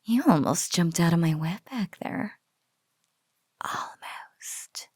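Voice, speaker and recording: A woman playing a teasing, doting spider-woman captor in an ASMR roleplay, speaking softly in breathy whispers and lingering playfully over the words. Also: fairly low pitch, animated, dry recording, clean studio sound, heavily acted. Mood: mock-sweet, teasing. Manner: slow, soft, breathy, lingering teasing delivery.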